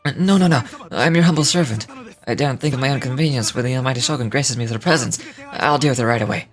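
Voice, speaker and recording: Casting: male actor as eager, fawning game servant, nervous stammer, rapid delivery, music behind. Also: mid-range voice, natural voice. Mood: anxious, fawning. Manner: hesitant, stammering, obsequious.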